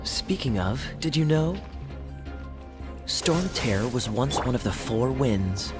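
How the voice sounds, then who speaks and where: An actor voicing a suave, teasing knight in a video game, speaking in a wry, theatrical manner. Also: male voice, medium pitch, lively intonation, takes it slowly, music in the background. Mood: casual, informative. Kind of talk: video game character dialogue.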